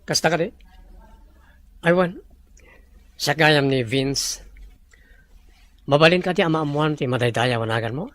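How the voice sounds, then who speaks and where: A man with a Filipino Ilocano accent acting a courteous, self-assured gentleman in an audio drama, with polite, probing speech. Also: fairly high pitch, a little slow, long pauses, dry recording.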